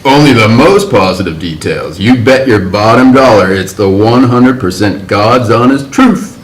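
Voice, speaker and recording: A man's voice: lowish voice, animated, normal speed, natural voice, poor audio. A man reading the part of an eccentric, effusive and mocking 1950s Manhattan man in a stage play table read.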